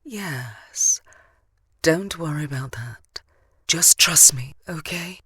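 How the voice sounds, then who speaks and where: A woman's voice: deep voice, animated, slow, sharp tone, slightly rough, no echo, heavily acted. A woman playing a seductive dusk elf vampire in an audio drama, doting and protective, with a sultry, cooing manner. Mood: soothing. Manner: cooing, sultry, purring endearments. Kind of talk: audio drama character acting.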